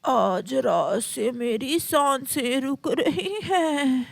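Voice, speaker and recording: A woman voicing a dying old mother pigeon in a cartoon, weak, halting and loving. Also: sits high, gravelly, no echo, clean studio sound, exaggerated voice.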